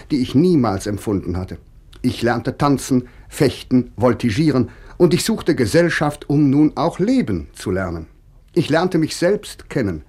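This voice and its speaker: An earnest young writer confessing his past awkwardness in a radio play. His husky voice swings widely in pitch as he recites, reflective and gently mocking himself. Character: young scholar-playwright recalling his awkward youth, self-mocking, earnest. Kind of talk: 1950s German radio drama monologue.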